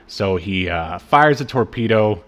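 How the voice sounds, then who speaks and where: A man co-hosting a podcast, casually recapping a TV episode in a halting, irreverent way with trailing asides. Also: fairly low pitch, expressive, a bit bright. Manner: irreverent, jokey, profane. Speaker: podcast co-host.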